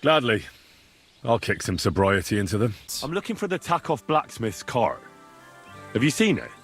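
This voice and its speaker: An actor playing an earnest young errand-running hero in a video game, polite but stubborn, with a straightforward manner. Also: male voice, medium pitch, sing-song, normal speed, soft background music. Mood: obliging.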